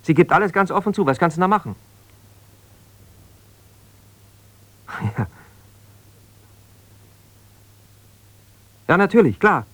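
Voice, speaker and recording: An actor playing an aggrieved, jealous husband, an ambitious lawyer, in a naturalistic radio drama, talking in a halting, colloquial, self-justifying way as if confiding on the phone. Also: male voice, high-pitched, very animated, no room sound. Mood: resigned, frustrated.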